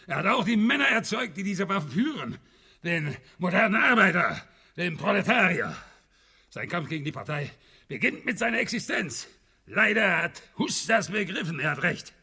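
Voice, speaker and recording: An actor playing a pedantic old communist revolutionary in a radio play, declaiming in pompous, lecturing slogans. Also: male voice, high-pitched, big pitch swings, moderate pace, gravelly, pushed and bright, breathy edge, full character voice. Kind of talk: theatrical German radio play acting.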